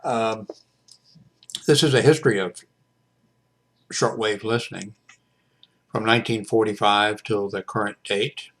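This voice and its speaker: Man, 70s, lowish pitch. Hesitant, off-the-cuff hobbyist vlogger with a stop-and-start delivery. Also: steady tone, a bit bright. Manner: halting, hesitant delivery with mid-sentence pauses. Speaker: radio hobbyist reviewing a portable shortwave radio. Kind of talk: casual unscripted product review vlog. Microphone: webcam microphone.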